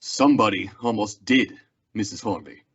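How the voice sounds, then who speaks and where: A man's voice: lowish voice, moderate pace, slightly rough. A young man acting a weary, plainspoken Old West marshal in a radio Western drama. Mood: firm, troubled. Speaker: actor in a school radio theatre production. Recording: dull lo-fi radio-play recording.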